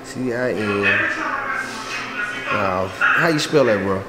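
A man's voice: lowish voice, expressive, takes it slowly, over loud music. A slightly tipsy man bantering casually in slang as a contestant in a barbershop spelling contest. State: a little tipsy. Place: barbershop interior. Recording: echoey shop, loud people behind.

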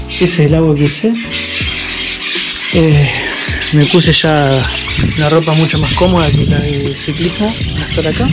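A man's voice: on the high side, poor audio. A man in his thirties with an Argentine accent, chatting casually to an action camera held at arm's length outdoors while touring by bike. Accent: Argentine Spanish. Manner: chatty, easygoing, thinks aloud with fillers. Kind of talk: casual travel vlog talk to camera. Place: outdoors at an abandoned campground.

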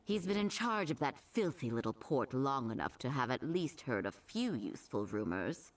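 A woman's voice: a bass voice, sing-song, moderate pace. A woman playing a confident, eager young wizard scholar in a video game, assured and formal. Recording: slightly distant, with game ambience.

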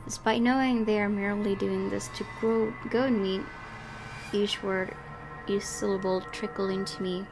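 A woman's voice: mid-range voice, fairly even intonation, normal speed, over music. A woman hosting a gaming video, reading visual novel narration aloud with dramatic delivery and casual asides.

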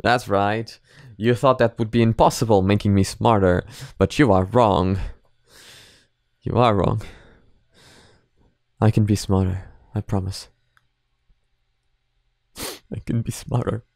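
A man's voice: on the low side, steady tone, takes it slowly, creaky, pauses often, a little mellow, no room sound. A man in his twenties chatting casually as a reaction channel host, repeating himself for emphasis, close to a microphone at home. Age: 20s. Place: small home room, indoors. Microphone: microphone on boom arm, close.

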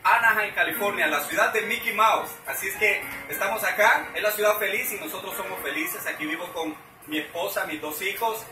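A man's voice: high voice, fairly quick, over music. A middle-aged man from El Salvador hosting a casual interview at home, asking friendly questions with a few fillers. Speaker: interviewer and channel host. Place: living room at home. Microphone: far camera. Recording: roomy, far from the camera mic.

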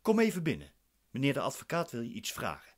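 An actor playing a dissatisfied defendant in a radio play, formal, assertive and politely cutting. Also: male voice, mid-range voice, huge pitch swings, quick pace. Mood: coolly polite.

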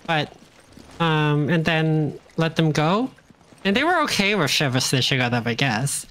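A man's voice: fairly high pitch, expressive, unhurried, fairly bright tone. A man streaming a co-op game, chatting casually and telling rambling, jokey stories while he plays. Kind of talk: casual co-op gameplay chat.

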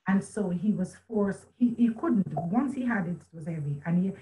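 A woman's voice: mid-range voice, lively intonation, normal speed, mellow, rough recording. A middle-aged woman telling a personal story as a guest on a video call, earnest and reflective, often restarting her sentences. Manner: earnest, reflective, with many restarts and quoted speech. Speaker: author and guest. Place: room at home with a chandelier. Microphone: video call webcam.

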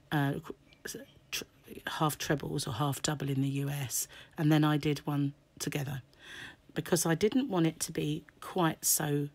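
A woman with a notably low, croaky voice talks through a crochet lesson at home. She rambles casually with plenty of ums and clears her throat now and then. Her voice sounds hoarse throughout. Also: a little mellow. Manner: chatty, many fillers, frequent throat clearing. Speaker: crochet tutor. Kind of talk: casual tutorial explanation. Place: home table, indoors. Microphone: camera over hands, speaker off-screen.

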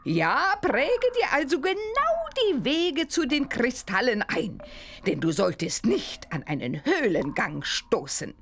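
A stately, mysterious grown witch from a cartoon game. She speaks slowly and theatrically, with hugely swooping intonation. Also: on the high side, very animated, fairly bright tone, a little hiss or hum, full character voice. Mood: instructive. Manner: theatrical, deliberate, instructing. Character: stately, mysterious adult witch giving a task.

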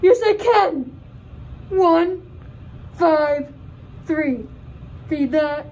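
A young woman voicing a dim, excitable puppet inventor in a scripted puppet show. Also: high-pitched, very slow, heavily acted, low-quality recording. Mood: excited. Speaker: student puppeteer. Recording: faint background noise.

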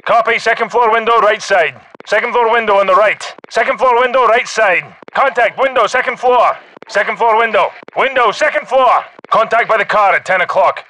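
A man voicing a gruff, urgent special forces soldier in a military shooter game, giving clipped, terse combat callouts. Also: high-pitched, moderate pace, sharp tone, rough recording. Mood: urgent, alert. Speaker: video game voice actor.

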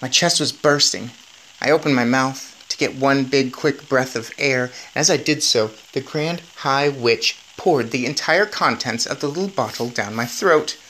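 A man's voice: mid-range voice, measured pace, pushed and bright, slight background noise, poor audio. A man in his thirties reading a children's novel aloud with expression. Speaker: story reader.

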